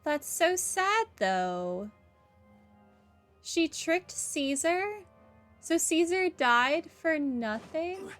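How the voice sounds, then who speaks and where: A woman's voice: sits high, animated, drawn-out words, fairly bright tone, faint music behind. A woman giving casual, brief reactions as she plays a video game. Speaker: game streamer. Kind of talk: casual reactions over gameplay.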